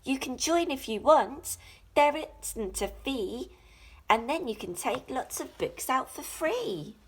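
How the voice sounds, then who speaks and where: A woman voicing an earnest, excitable young schoolboy in a children's story, given to exclaiming. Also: high voice, slow, slightly rough, voice pushed up, full character voice, low-quality recording. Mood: helpful.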